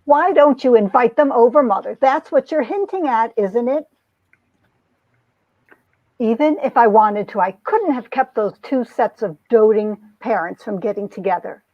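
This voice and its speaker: A woman's voice: on the high side, lively intonation, normal speed, no room sound, lo-fi sound. A woman playing a lovestruck young society heroine who narrates her romance with earnest melodrama, in an amateur comic reading. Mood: happy. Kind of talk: dramatic reading of a 1950s romance comic, first-person narration.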